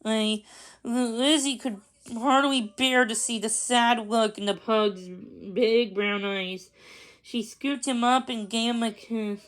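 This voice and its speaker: A woman reading a children's story aloud, expressive and a little halting, with small stumbles. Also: on the high side, rough recording. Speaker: storybook reader.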